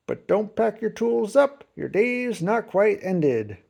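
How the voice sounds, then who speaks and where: A storybook reader voicing a kind, patient old grandfather who speaks gently and encouragingly. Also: mid-range voice, big pitch swings, takes it slowly, creaky, breathy edge, pitched above the performer's own voice, full character voice.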